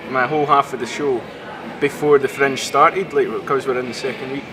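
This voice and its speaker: A young man from Scotland, a student and stand-up comedian, chatting casually and in a low-key way in an interview. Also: flat delivery, moderate pace. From Scotland (Glasgow). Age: young adult. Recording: street noise outdoors.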